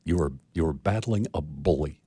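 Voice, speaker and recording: Very deep-voiced male radio host. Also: normal speed, mellow, slightly rough, dry recording. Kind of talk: radio interview.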